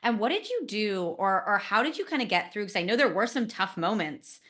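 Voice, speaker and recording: A woman's voice: mid-range voice, animated, a little fast, a bit bright. A woman hosting a podcast as a nutrition coach, asking supportive, encouraging questions. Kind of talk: conversational podcast interview.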